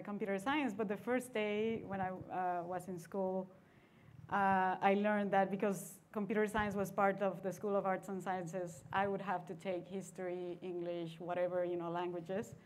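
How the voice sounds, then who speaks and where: A woman from Mexico tells her story on a conference panel, seated with a lapel mic. She talks in a chatty, easygoing way, slipping small laughs between phrases. A faint ambient hum runs underneath. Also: mid-range voice. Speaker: venture capitalist panelist. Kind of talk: panel discussion. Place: conference stage seated in armchair.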